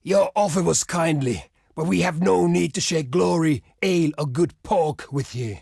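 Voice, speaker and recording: A proud barbarian chieftain from a strategy video game. His voice sits a little high for a man and is thick with gravel and husk, recorded close and dry. He declaims solemnly and defiantly in old-fashioned phrases. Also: moderate pace, a little mellow, no echo. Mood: firm, measured refusal. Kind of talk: dramatic game diplomacy voice acting.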